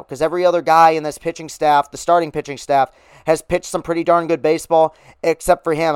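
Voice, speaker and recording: Upbeat man, slightly hoarse sports host. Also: on the high side, no echo, clean studio sound. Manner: upbeat, rambling with fillers. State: losing his voice. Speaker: podcast host. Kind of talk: casual sports podcast talk.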